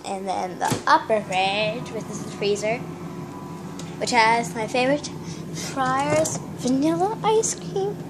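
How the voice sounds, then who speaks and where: A woman's voice: a little high, slow. A young woman giving a rambling, giggly tour of her home, filmed on a handheld phone in a small house. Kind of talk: casual home tour narration. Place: small house, kitchen and bathroom. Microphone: handheld phone camera. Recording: noisy home phone video.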